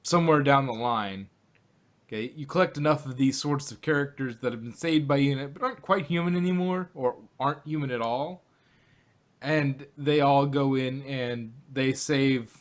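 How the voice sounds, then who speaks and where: A man co-hosting a casual review podcast, halting and laughing often as he talks. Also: medium pitch. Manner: laughing, halting, many fillers. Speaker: podcast co-host.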